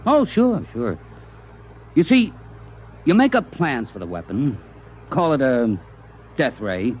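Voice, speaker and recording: An actor playing a cocky American army private with a sardonic, slangy manner in 1950s radio drama style. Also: male voice, mid-range voice, sing-song, slow pace. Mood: eager, explaining. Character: cocky wisecracking army private, science-fiction writer turned schemer. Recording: muffled 1950s radio recording, faint noise.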